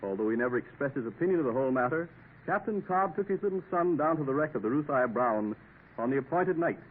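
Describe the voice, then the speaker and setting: A man narrating a radio drama in a measured storytelling manner. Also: mid-range voice, expressive. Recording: tinny old radio broadcast with hiss.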